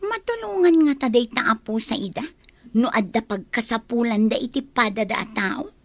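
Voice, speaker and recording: A chatty young housemaid, played by a woman in a radio drama. She talks in a high, curious voice, recorded close and dry. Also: expressive, normal speed, no room sound.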